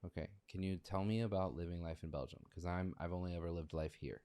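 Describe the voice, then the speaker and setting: A man's voice: low-pitched, fairly even intonation, quick pace, dark tone. A man hosting a faith podcast, asking friendly, curious questions. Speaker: podcast host. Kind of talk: casual podcast interview.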